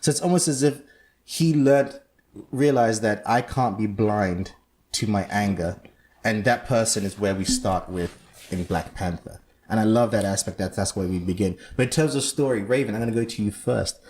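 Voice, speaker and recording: A man's voice: fairly low pitch, moderate pace, darkish tone, slight background noise. A man from Zambia hosting a casual podcast discussion, explaining at length and animatedly. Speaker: podcast host.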